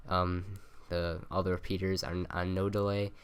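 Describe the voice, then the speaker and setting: Nasal young guy with a low voice explaining game stuff, lots of ums, cheap usb mic at his desk. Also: level tone, moderate pace, clean tone. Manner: rambling, many ums and self-corrections. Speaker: gaming tutorial maker. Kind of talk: casual game tutorial voice-over. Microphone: cheap USB desk mic by the keyboard.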